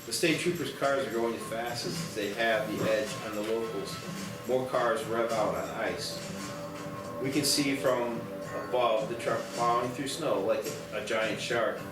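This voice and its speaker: A man narrates screenplay action in scripted prose. His mid-pitched voice sounds distant and roomy, with music clearly playing behind him. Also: steady tone, unhurried. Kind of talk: scripted narration at a table read. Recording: echoey, distant mic, loud background music.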